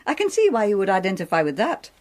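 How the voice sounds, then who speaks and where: A woman playing a calm, gently wry character at home in a British radio drama, conversational and gently probing. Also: medium pitch, fast talker.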